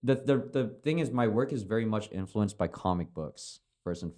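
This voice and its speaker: A man's voice: on the low side, expressive, normal speed, darkish tone. A man whose first language is Chinese, a filmmaker chatting casually as a podcast guest, rambling with lots of fillers and casual swearing. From China.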